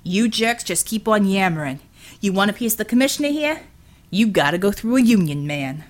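A woman's voice: mid-range voice, lively intonation, brisk, natural voice. A woman voicing a scrappy, defiant working-class man, brash and plain-spoken, in an audiobook.

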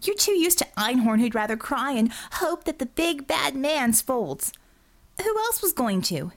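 A woman's voice: high voice, very animated, a little slow, voice pushed up, full character voice. A woman voicing a defiant, sarcastic young female healer in an audiobook, arguing in a flippant, clipped way. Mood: exasperated. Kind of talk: audiobook character dialogue.

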